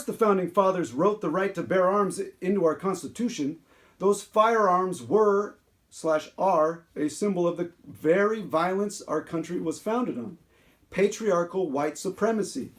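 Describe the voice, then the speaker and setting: Chatty unicorn story-time presenter, played by a man: high voice, earnest read-aloud delivery with halting asides. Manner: halting, filler-heavy asides, earnest reading. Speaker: show host.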